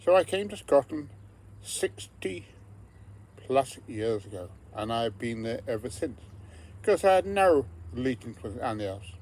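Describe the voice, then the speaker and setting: A man's voice: mid-range voice, vocal fry, a little mellow. A man in his seventies with an English accent, giving an earnest personal campaign monologue and building emphatic repeated phrases. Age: 70s. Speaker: political candidate.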